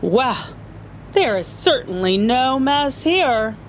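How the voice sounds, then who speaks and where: A woman's voice: a little high, very animated, takes it slowly, slight background noise. A woman voicing a bewildered young boy in a children's storybook. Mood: matter-of-fact. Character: young boy Jonathan, bewildered by chaos at home. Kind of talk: storybook character voice.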